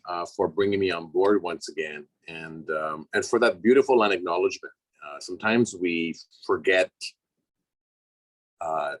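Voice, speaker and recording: Raspy-voiced man giving a calm talk. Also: deep voice, dry recording, poor audio. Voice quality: raspy from a cold. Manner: calm, measured, conversational. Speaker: guest speaker. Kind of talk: reflective public talk.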